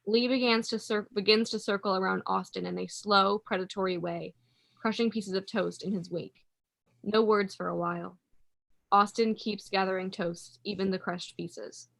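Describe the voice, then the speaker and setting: A woman's voice: medium pitch, steady tone, normal speed, creaky, a bit bright, dry recording. A woman narrating a play, reading the stage directions as scripted narration.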